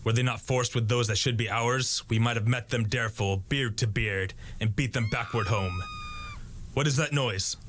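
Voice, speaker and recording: A man's voice: medium pitch, steady tone, normal speed, noisy background, lightly acted. A man acting a defiant tyrant king in a Shakespearean radio play, declaiming with scorn and command. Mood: proud, defiant.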